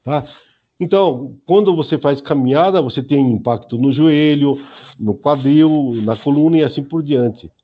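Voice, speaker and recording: A man's voice: fairly high pitch, moderate pace, highs cut off. A Brazilian man in his early seventies explaining things informally in an interview, in a didactic way with frequent checking tags. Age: early 70s. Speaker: senior Aikido instructor, interview guest.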